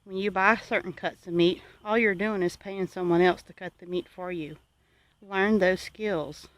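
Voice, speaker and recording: A homesteading channel host gives viewers advice in an earnest, exhorting and deliberate way. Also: medium pitch, fairly even intonation, no room sound.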